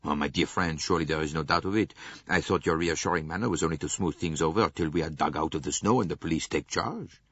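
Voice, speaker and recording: A man playing a cordial, confident but easily flustered gentleman in an audiobook, speaking in a hearty, emphatic, blustering way. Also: very deep voice, fast talker, breathy edge, dry recording, muffled recording, heavily acted.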